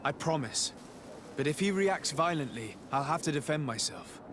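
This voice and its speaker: An actor playing a composed, firm envoy in a video game, polite, formal and direct. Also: male voice, a little high, expressive, moderate pace, background noise, natural voice. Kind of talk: video game cutscene acting.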